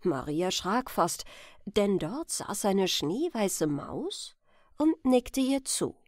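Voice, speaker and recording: A woman narrating a bedtime fairy tale, telling it gently and expressively as a storyteller. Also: mid-range voice, sing-song, slow pace, no echo, studio quality. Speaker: storyteller, channel host.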